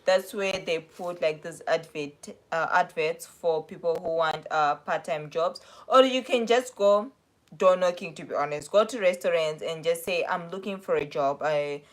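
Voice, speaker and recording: A young woman with a South African accent talks through viewer questions on a casual livestream. Her voice sits a little low, and she rambles and corrects herself as she goes. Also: moderate pace. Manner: chatty, rambling, self-correcting. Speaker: livestream host.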